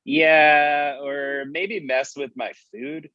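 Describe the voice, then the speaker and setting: A man's voice: fairly high pitch, steady tone, very slow, no echo. A man playing a worried, mild-mannered friend, conversational and conflicted, in a comedy table read. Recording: dull, close dry microphone.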